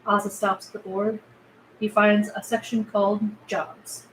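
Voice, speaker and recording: A woman's voice: medium pitch, flat delivery, a little slow, poor audio. A woman narrator reading screenplay stage directions aloud at a table read. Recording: faint background noise.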